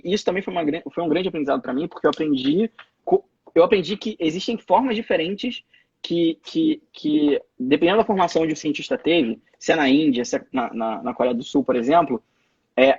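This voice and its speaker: A man's voice: a little high, fairly quick, a little mellow, slightly rough, no room sound, muffled recording. A young Brazilian man in his twenties, a guest telling stories in a casual interview, with many restarts and repeated words. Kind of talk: casual interview over a live video call. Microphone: phone or laptop mic on a live stream.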